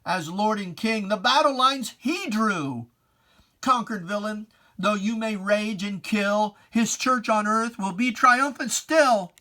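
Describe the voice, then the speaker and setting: A person giving a dramatic, solemn reading of religious verse, declaiming emphatically with deliberate pauses and archaic preacherly diction. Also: mid-range voice, bright, forceful tone. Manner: archaic preacherly diction, addressing a foe directly.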